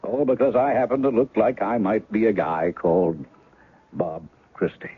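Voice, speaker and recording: An actor playing a rueful, blocked novelist with a wry, self-mocking manner. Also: male voice, fairly low pitch, fairly even intonation, normal speed, husky. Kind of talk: 1970s radio drama acting. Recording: narrow 1970s radio broadcast, faint music.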